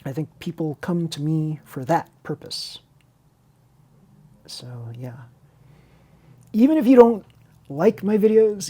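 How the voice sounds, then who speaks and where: Husky woman chatting through a hobby build. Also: sits low, dark tone. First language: Chinese. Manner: rambling, candid, self-interrupting. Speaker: hobby video host and teacher. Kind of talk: unscripted casual chat over a model car build tutorial.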